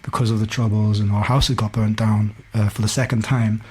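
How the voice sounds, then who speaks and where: A man in his forties with a Belfast accent and a husky voice. He tells stories in a casual, candid way as a podcast guest, peppering his talk with "you know". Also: lowish voice, level tone, brisk, darkish tone. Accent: Belfast Northern Irish. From West Belfast, Northern Ireland. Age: 40s. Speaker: podcast guest, hip-hop DJ. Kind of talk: casual conversational storytelling.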